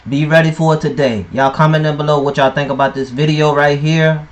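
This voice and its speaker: A man hosting a video channel, loud and hyped while he signs off. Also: on the high side, fairly even intonation, a little fast. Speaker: channel host. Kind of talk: video outro.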